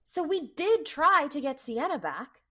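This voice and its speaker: An actress playing an affectionate, excitable young woman, an effusive friend in a fantasy comedy audio drama. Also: high voice, a little slow, slight background noise, exaggerated voice. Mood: gentle, anxious.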